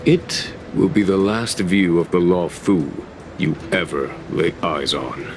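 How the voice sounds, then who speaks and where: An actor playing a calm, authoritative general in a video game, with a measured, formal and dryly wry delivery. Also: male voice, low voice, noisy background. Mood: grave, formal. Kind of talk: video game cutscene acting.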